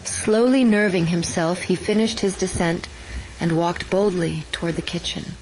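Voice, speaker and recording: A woman's voice: on the low side, normal speed, darkish tone, slight background noise, poor audio. A woman narrating a horror story as a scripted, dramatic audiobook reading.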